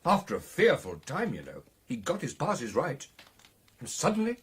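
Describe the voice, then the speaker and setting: An actor playing an excitable British gentleman recounting a ghostly encounter in a radio drama, with theatrical, animated delivery. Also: a little high, moderate pace, husky, full character voice. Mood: exasperated, animated. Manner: theatrical, animated storytelling with exclamations and hesitations.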